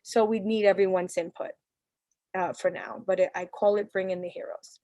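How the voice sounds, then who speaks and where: A woman's voice: mid-range voice, fairly even intonation, moderate pace, mellow, dry recording, muffled recording. Earnest New woman on a video call. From New York City. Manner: casual, earnest, with restarts. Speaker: parent council leader. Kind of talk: virtual community meeting talk.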